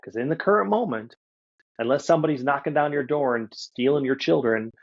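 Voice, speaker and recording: A man giving live mindset coaching, speaking emphatically and instructively straight to his listener, with a gravelly, slightly husky voice. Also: medium pitch, a little fast, lo-fi sound. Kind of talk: live one-to-one coaching talk.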